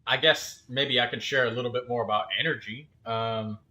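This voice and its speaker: Bright-voiced man, casual game streamer. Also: medium pitch, steady tone, brisk, muffled recording.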